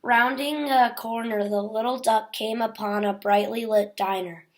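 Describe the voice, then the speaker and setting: A woman's voice: a little high, steady tone, a little slow. A woman reading a children's picture book aloud as a storyteller.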